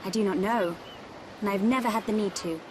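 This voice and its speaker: A woman's voice: medium pitch, brisk, noisy background, natural voice. An actress playing a poised, dutiful young noblewoman in a video game, formal and reserved. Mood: matter-of-fact. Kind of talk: video game cutscene acting.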